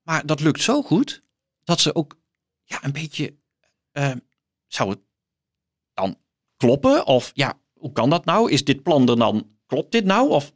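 A middle-aged man explaining books in conversation as an interview guest, thoughtfully and haltingly, repeating words as he searches for phrasing. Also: a little high, sing-song. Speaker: guest, professor.

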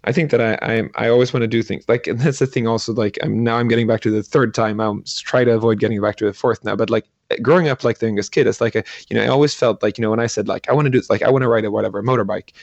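A man's voice: on the low side, steady tone, rapid-fire, vocal fry, hardly any pauses, no room sound, muffled recording. A man, an entrepreneur answering interview questions thoughtfully, hesitating with many fillers. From Sweden. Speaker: entrepreneur and angel investor. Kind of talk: interview guest.

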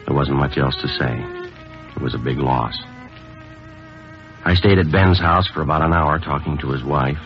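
An actor playing a stoic, grieving police sergeant in an old radio drama, speaking in a terse, understated, deadpan way. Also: male voice, a bass voice, normal speed, pauses often. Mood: somber. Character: stoic male police sergeant, grieving his longtime partner. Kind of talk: 1950s radio acting, clipped scripted narration. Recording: muffled old radio broadcast, music behind.

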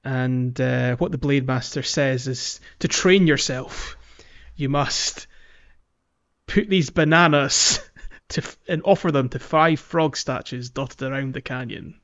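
A man's voice: mid-range voice, takes it slowly, a bit bright. Casual male podcast host, mid-range voice, explanatory, slightly muffled lo-fi audio. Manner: explanatory, guiding the conversation with questions. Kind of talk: casual game walkthrough chat.

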